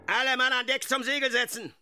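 An actor playing an obsessed, commanding old whaling captain in a German radio play, barking orders and brooding with menace. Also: male voice, extremely high pitch, steady tone, fairly quick, bright, forceful tone, faint music behind, full character voice.

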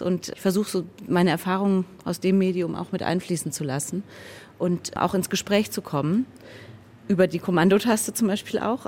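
Low-pitched woman over clear background noise. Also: darkish tone. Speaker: radio drama director and theatre maker. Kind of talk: reflective interview statement.

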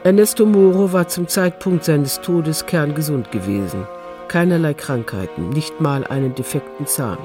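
A man's voice: fairly high pitch, lively intonation, moderate pace, music in the background. A man narrating a literary radio drama from a script.

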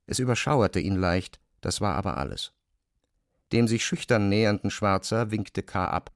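A man narrates literary prose in a lowish voice with a clear creak in it. The sound is close and very dry, as from a studio. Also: normal speed, no echo.

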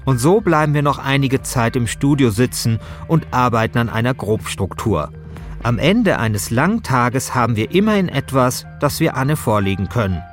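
A man narrates a podcast as its host in a scripted first-person style. His mid-range voice moves up and down in a lively way, with soft music behind it. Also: medium pitch, normal speed.

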